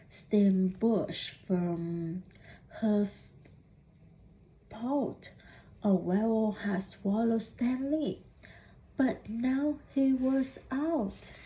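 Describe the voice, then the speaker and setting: Female storybook reader, higher-pitched and soft-toned, very slow and halting, faint noise behind. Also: low-quality recording. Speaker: student reading a picture book aloud. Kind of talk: storybook read-aloud with questions to young listeners.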